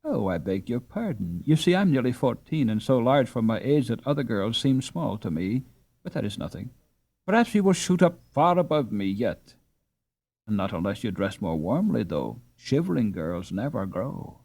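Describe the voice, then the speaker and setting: Casting: man playing a well-bred storybook girl of thirteen, gentle and earnest, lively intonation. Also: medium pitch, normal speed, gravelly, slightly rough, heavily acted. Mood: kindly. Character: kind, well-bred girl of nearly fourteen.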